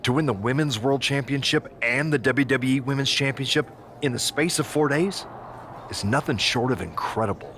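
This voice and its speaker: A man's voice: medium pitch, expressive, normal speed, fairly bright tone. A man playing an earnest, emphatic wrestling official in a video game. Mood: formal, pressing.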